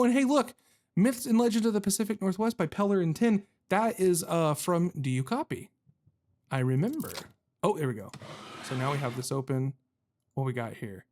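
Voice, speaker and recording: A man's voice: medium pitch, a little mellow. A man giving casual let's-play commentary, thinking aloud in goofy mock-swearing slang and sometimes reading game notes out. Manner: thinks aloud while playing. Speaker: gaming YouTuber.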